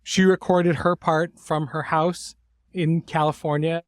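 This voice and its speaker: A man's voice: a little high, level tone, a little slow, no echo. A middle-aged man hosting a podcast in an informal, conversational way, effusive with his praise. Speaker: host and series creator.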